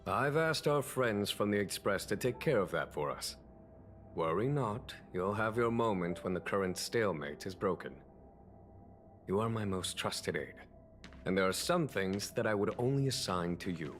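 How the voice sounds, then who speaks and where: An actor playing a calm, weary general and wise mentor in a video game, speaking in a measured, formal, deliberate way. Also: male voice, on the low side, faint music behind, natural voice. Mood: patient, firm. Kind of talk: scripted video game voice acting.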